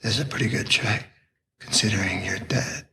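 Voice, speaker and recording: A man's voice: lowish voice, a little fast, pushed and bright, breathy edge, whispered, heavily acted. An actor playing a weary, defiant man in a television science fiction drama. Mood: wry. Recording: noisy TV soundtrack clip with ambience.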